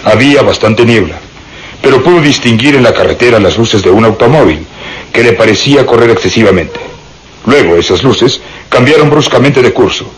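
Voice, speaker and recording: An actor playing a heavyset, gruff and decisive police inspector in a radio drama, brusque, commanding and easily irritated. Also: male voice, medium pitch, lively intonation, normal speed, close to an everyday voice. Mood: stern questioning. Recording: noisy radio drama recording.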